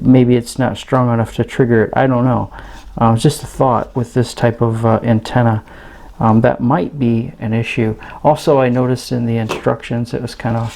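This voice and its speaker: A man's voice: mid-range voice, vocal fry, mellow. An American man giving a casual, unscripted product review, hesitant and thinking aloud as he goes. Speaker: radio reviewer.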